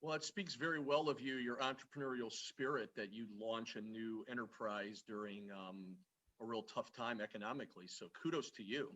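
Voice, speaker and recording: A man leads a formal video interview in a polished, measured way. His tone is bright and forward, at a middle pitch. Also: steady tone, muffled recording, slight background noise.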